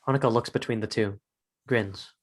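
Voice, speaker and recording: A man's voice: lowish voice, fairly even intonation, moderate pace. A man narrating a script, reading out stage directions in short phrases.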